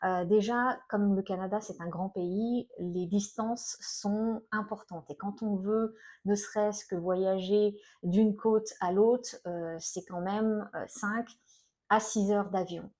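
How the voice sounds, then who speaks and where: Mellow middle-aged French woman, talking haltingly. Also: mid-range voice, steady tone. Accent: French from France. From France. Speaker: self-employed energy healer and coach, video host. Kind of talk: personal vlog, informal talk to viewers.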